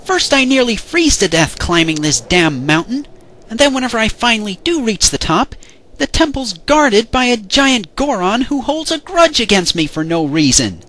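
A man's voice: extremely high pitch, sing-song, moderate pace, pushed and bright, heavily acted. A man voicing a cocky, whiny, dim young adventurer in a parody dub. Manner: whiny complaining, smug. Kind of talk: fan parody cartoon dub.

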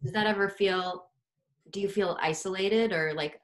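A woman's voice: medium pitch, moderate pace. A woman hosting a casual interview over a video call from a room indoors. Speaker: interview host. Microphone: computer mic on a video call.

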